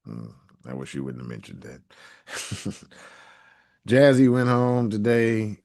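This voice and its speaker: A laid-back man, co-hosting a podcast. His voice sits very low and he keeps his answers short and easy. The sound is close and dry. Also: big pitch swings, slow, gravelly, a little mellow, no room sound. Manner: laid-back, brief replies. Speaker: podcast co-host. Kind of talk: casual podcast chat.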